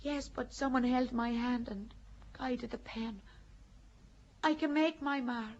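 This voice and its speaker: A high-pitched, devout young peasant maid in an audio drama. She speaks bluntly and earnestly, with open feeling. The sound is slightly dull. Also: fairly even intonation, takes it slowly, a little mellow. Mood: bewildered, uneasy. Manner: plain-spoken, earnest, emotional. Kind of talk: audio drama acting of a stage play.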